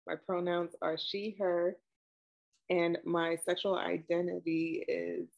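A woman's voice: on the low side, slow, vocal fry, highs cut off. Young Brooklyn woman on a video call. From Brooklyn, New York. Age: 20s. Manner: relaxed, with frequent ums and likes. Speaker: interviewee. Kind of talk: casual oral history interview.

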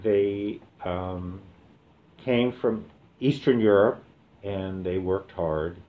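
A retired man in his seventies from the American Midwest, reminiscing slowly in an oral history interview with many pauses and fillers. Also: deep voice, phone-quality sound. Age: 70s. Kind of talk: relaxed reminiscing with reading aloud.

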